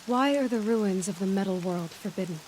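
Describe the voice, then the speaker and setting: A woman's voice: mid-range voice, a little fast, darkish tone, slight background noise, natural voice. A young woman voicing a determined outcast hunter in a game cutscene, asking direct, inquisitive questions. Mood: curious. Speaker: game protagonist. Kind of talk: video game cutscene voice acting.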